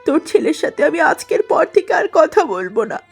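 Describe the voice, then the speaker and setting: A gentle, sorrowful elder sister, played by a woman in a cartoon melodrama. She speaks in a high, plaintive voice with faint music underneath. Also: very animated, brisk, creaky, full character voice. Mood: hurt. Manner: plaintive, self-pitying. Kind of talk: melodramatic cartoon story acting.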